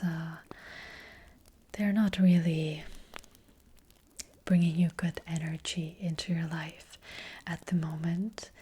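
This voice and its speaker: A woman voicing a gentle witch fortune teller in a soft ASMR roleplay, whispering breathily and hesitantly, close to the microphone. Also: on the low side, slightly rough, full character voice. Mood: soft, reassuring. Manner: gentle, hesitant, slow and reassuring.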